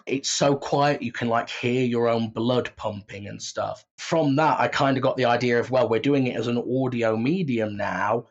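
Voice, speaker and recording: Dull-sounding, explanatory male writer. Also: medium pitch. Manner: explanatory, conversational. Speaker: audio drama writer and creator. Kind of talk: casual interview talk.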